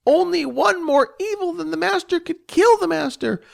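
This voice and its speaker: A man voicing a small, frightened female goblin who pleads and wails in a high voice. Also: lively intonation, normal speed, creaky, exaggerated voice. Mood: distraught pleading.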